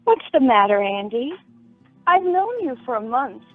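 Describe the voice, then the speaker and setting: Radio play ingénue, actress: high, very animated voice, insistent, pleading young sweetheart, dull lo-fi sound. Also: female voice, a little slow, heavily acted. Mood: concerned, pressing. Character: earnest, pleading young woman, a troubled fiancée. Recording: muffled lo-fi audio with music behind.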